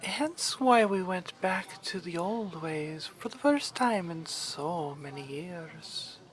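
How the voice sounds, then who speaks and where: A woman's voice: on the low side, expressive, slow. A woman playing a refined, haughty vampire baroness in an ASMR roleplay, courteous and formal in her wording. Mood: disdainful, refined.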